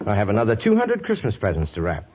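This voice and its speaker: An actor playing a kindly, devout missionary reverend, gentle and good-humored, in 1950s radio style. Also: male voice, fairly low pitch, wildly swooping pitch, fast talker. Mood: gentle, cheerful. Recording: dull old radio recording, faint noise.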